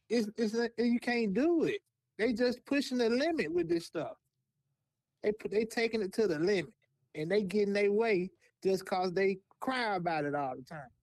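A woman's voice: mid-range voice, darkish tone, no echo, clean studio sound. A woman co-hosting a podcast, blunt and emphatic, hammering her points home in a casual debate. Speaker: podcast co-host.

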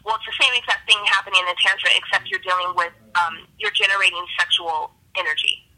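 A woman's voice: lowish voice, steady tone, fast talker, bright, forceful tone, low-quality recording. A woman guest explaining her subject in casual conversational talk, as an expert on a radio show.

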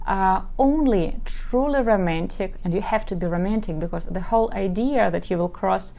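A woman from Ukraine speaks English with a Ukrainian accent, her voice carrying a gravelly, creaky texture. She hosts a dating advice podcast and coaches her listeners directly and earnestly, at an everyday pitch. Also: moderate pace, warm tone.

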